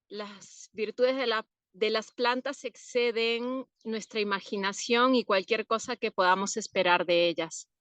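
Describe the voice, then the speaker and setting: A woman from Peru, an herbalist answering interview questions in an unhurried, thoughtful way with soft laughs. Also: a little high, clear, resonant tone, no echo.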